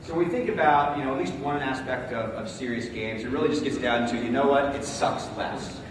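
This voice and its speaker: A man's voice: medium pitch, brisk. A man with a big voice giving a conference talk, speaking casually with many fillers and calling on the audience for answers. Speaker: conference presenter. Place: large conference hall. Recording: echoey hall, distant mic, room noise.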